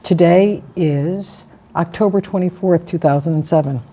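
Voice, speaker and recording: A woman interviewing a guest for an oral history, asking questions in a chatty, gently teasing way. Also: on the low side, moderate pace.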